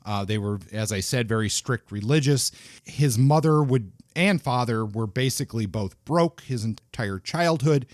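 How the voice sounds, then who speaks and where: A man hosts a book podcast, talking through an author's life in loose, unscripted sentences full of small restarts. His voice sits at an ordinary middle pitch for a man. The sound is dry and close, with no room echo. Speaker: podcast host. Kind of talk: conversational podcast talk about books.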